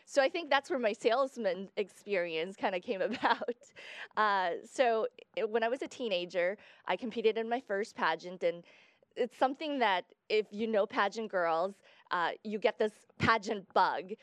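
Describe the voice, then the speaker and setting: Young woman guest from Guatemala, mid-pitched, chatty, dry close sound. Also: normal speed, no echo. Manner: chatty, explains at length with fillers. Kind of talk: casual interview chat.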